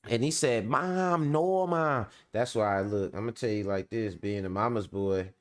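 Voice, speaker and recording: A man's voice: lowish voice, measured pace. A man hosting a show in casual chat, talking in loose, joking, profane banter. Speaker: show host.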